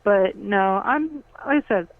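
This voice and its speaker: A woman's voice: mid-range voice, lively intonation, slow, no room sound. Woman in her fifties on a phone-like line. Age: 50s. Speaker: podcast guest. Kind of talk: casual chat, personal recollection. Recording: thin, phone-like call audio.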